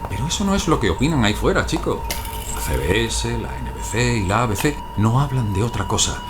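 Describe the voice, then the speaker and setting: Actor as menacing, expressive male FBI interrogator. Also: medium pitch, brisk, noisy background, natural voice. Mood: mocking pressure. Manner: mocking, coldly intimidating. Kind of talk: audio drama acting.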